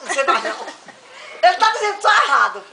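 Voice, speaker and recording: A woman at a lively gathering repeating phrases on a dare, stumbling over the words and laughing a lot, in a casual home video. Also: very high voice, fast, creaky, echoey room, crowd noise. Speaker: party guest repeating a tongue twister on a dare.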